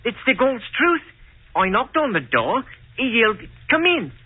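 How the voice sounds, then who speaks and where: An actor playing an excitable, deferential witness, agitated and earnest, in 1940s radio drama style. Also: male voice, very high voice, moderate pace, breathy edge, heavily acted. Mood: excited earnestness. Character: excitable, deferential witness protesting his honesty. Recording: tinny, phone-like old radio recording.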